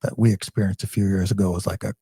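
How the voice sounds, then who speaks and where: Low-voiced man hosting a casual interview. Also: little pitch movement, quick pace, dark tone, breathy edge, no echo, studio quality. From Michigan. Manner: friendly, chatty questioner. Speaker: podcast host.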